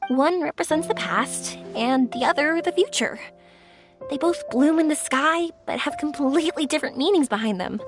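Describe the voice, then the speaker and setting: Cheerful young firework girl, eager and earnest, high lively voice, faint game music. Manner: bright, eager and reflective. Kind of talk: video game voice acting. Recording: soft game music behind.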